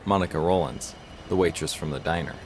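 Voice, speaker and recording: A man's voice: low voice, steady tone, fairly quick, slight background noise, natural voice. A man playing a shrewd, determined police investigator in an audio drama. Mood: focused.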